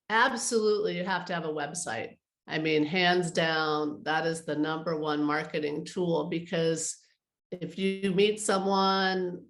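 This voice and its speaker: A woman's voice: lowish voice, reverberant. A woman speaking conversationally as an advisor being interviewed, explaining her work and giving practical advice. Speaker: interview guest, art advisor.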